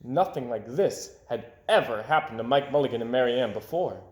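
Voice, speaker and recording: A man's voice: medium pitch, animated, fairly quick, a little mellow. A man reading a children's story aloud with dramatic delivery, varying volume and tempo for suspense. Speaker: storybook reader. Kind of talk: animated children's read-aloud narration. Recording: roomy home recording.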